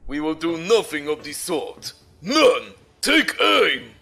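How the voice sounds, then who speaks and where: An actor playing a stern, arrogant commodore of a space base, speaking in a formal, pompous, commanding way in an audio drama. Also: male voice, on the high side, big pitch swings, slow, gravelly, sharp tone, breathy edge, full character voice. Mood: furious, commanding.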